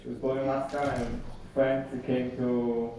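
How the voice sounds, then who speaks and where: A man's voice: mid-range voice, flat delivery, moderate pace, dark tone. Man giving earnest thanks in echoey hall. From Israel. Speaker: new citizen giving thanks. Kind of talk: short spontaneous speech. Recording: distant echoey hall, noisy.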